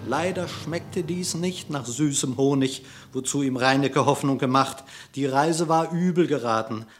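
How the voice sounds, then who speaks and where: A man narrating a verse fable theatrically, with dramatic, expressive declamation. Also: medium pitch, a little slow. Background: music.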